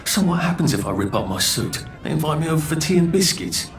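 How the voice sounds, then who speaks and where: A man's voice: fairly high pitch, sing-song, fast. An actor playing an anxious, sardonic English man on the run in a video game, muttering to himself in politely exasperated tones. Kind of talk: video game character acting.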